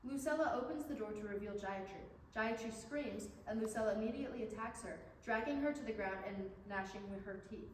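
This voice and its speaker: A woman's voice: medium pitch, fairly quick, hardly any pauses, natural voice. A woman reading scripted stage directions as the narrator of a staged play reading. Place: indoor staged reading in an echoey room. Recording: echoey, distant room mic, clear noise.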